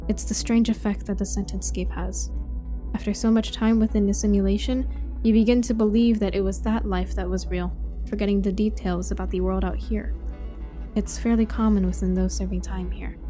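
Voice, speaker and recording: An actress playing an earnest young trainee prison technician in an audio drama, probing and firm in a measured way. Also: female voice, medium pitch, fairly even intonation, warm tone, soft background music, lightly acted. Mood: formal, calm. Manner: measured, formal when questioning.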